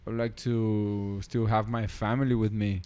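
A man's voice: on the low side, level tone, normal speed, a little mellow, clear, resonant tone. A young adult man, a non-native English speaker, talking casually with friends on a podcast. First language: not English. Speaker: podcast participant. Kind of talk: casual conversation among friends.

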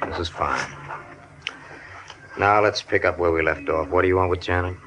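A man's voice: deep voice, fairly even intonation, normal speed, noisy background, natural voice. An actor playing a surly street henchman with blunt, slangy talk in a 1950s radio crime drama. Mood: threatening. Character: surly male street thug, gang henchman.

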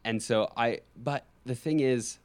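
A young man from Alberta with a Canadian accent, chatting casually as a guest, relaxed and quick to laugh, with frequent ums and restarts. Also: medium pitch, smooth. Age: young adult. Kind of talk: casual conversation.

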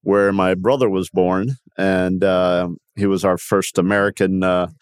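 A man in his sixties, born in Cuba and raised in Miami, speaks in a fairly low voice. He tells stories conversationally as a podcast guest, often stopping to restart or correct himself. The sound is close and dry. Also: fairly even intonation, measured pace, clean tone, no echo. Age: 60s. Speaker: podcast guest, company founder. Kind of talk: conversational interview storytelling.

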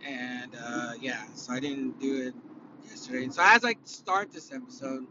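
A man from California with a raspy, tired voice hosting a casual solo podcast, rambling and swearing, full of fillers and restarts. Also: mid-range voice, normal speed, lo-fi sound, noisy background. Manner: rambling, profane, opinionated, with many fillers and restarts. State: raspy, worn-out voice after concerts. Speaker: podcast host.

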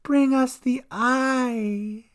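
A man's voice: very high voice, fairly even intonation, extremely slow, smooth, pitched above the performer's own voice, full character voice. A man voicing a monstrous one-eyed female water hag in a storybook, speaking with a mock-sweet, taunting menace. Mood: cold demanding. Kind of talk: storybook character voice.

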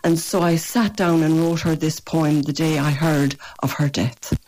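An older Irish woman, a poet, reading her poems in a measured, gentle way and answering interview questions. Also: deep voice, steady tone, slight background noise, low-quality recording. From rural northwest Ireland. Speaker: poet and guest. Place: radio studio or phone line.

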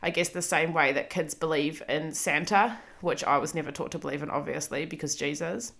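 Bright-voiced New Zealand woman podcasting solo. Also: low voice, steady tone, a little fast, vocal fry. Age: 30s. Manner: chatty, self-deprecating, frequent ums and laughs. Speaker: podcast host.

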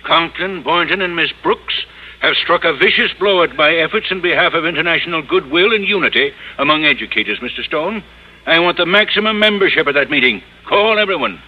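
A man playing a pompous, easily affronted school board official in 1950s radio comedy, sputtering and husky. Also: on the high side, animated, a little fast. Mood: indignant. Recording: muffled old radio recording.

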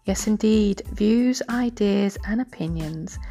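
A woman hosting a podcast, reading a scripted introduction in a measured, breathy way and then moderating a panel. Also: medium pitch, dark tone, soft background music. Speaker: podcast host.

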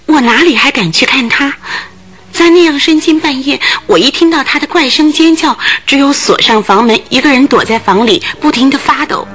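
A woman's voice: high voice, moderate pace, fairly bright tone. An actress in a radio drama playing a nervous, talkative landlady who tells things in earnest detail. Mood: frightened.

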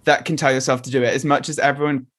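Man, guest, earnest and encouraging, medium pitch, conversational. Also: flat delivery, quick pace, fairly bright tone. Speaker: interview guest. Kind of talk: candid conversational interview.

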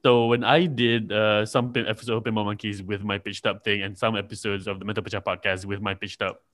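A man's voice: lowish voice, level tone, quick pace, fairly bright tone. A man co-hosting a podcast over a call, teasing his partner with mock exasperation in casual chat. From Malaysia. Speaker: podcast co-host.

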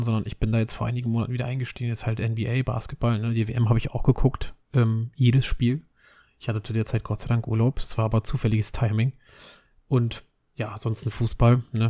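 A man, chatting casually and rambling on a solo podcast while he has a cold. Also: medium pitch. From Berlin, Germany. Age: 30s. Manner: relaxed, rambling, many fillers and restarts. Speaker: podcast host.

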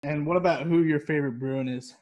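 A man's voice: a little high, steady tone, fairly quick, darkish tone, muffled recording. A man hosting a podcast, asking questions and signing off in a friendly, joking way. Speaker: podcast host.

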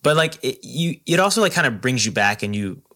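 A woman's voice: rumbling low, very animated, brisk, a bit bright. A woman co-hosting a podcast in casual chat, talking in an enthusiastic, rambling way. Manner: enthusiastic, rambling, laughs easily. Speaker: podcast co-host.

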